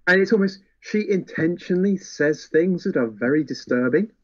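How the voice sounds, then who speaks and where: A man's voice: a little high, animated, moderate pace, lo-fi sound. A man co-hosting a casual book chat, retelling plots and acting out characters' lines as he explains. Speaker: podcast co-host.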